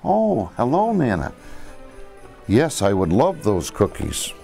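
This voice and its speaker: An older man, a grandfather, reading a storybook to children in a theatrical, expressive way. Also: mid-range voice, slow, creaky, soft background music.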